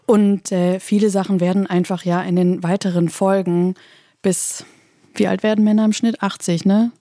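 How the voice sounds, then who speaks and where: A woman's voice: medium pitch, level tone, normal speed, dark tone, no echo, studio quality. A woman guest moderating a podcast, speaking playfully and teasingly. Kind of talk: casual podcast chat.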